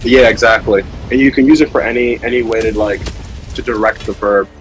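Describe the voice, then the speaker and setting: A man's voice: on the low side, steady tone, fairly quick, rough recording. A man chatting casually on a livestream, explaining things in an informal, hesitant, rambling way. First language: Somali. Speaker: livestream host. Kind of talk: casual chat, explaining language learning. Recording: music behind the voice.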